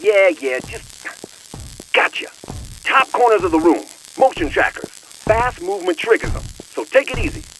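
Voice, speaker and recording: An actor with an American accent voicing a wisecracking, streetwise, excitable tech sidekick in a video game, with slangy, cocky banter. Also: male voice, high-pitched, measured pace, slight background noise, heavily acted, low-quality recording. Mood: cocky, instructive. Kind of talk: video game cutscene voice acting.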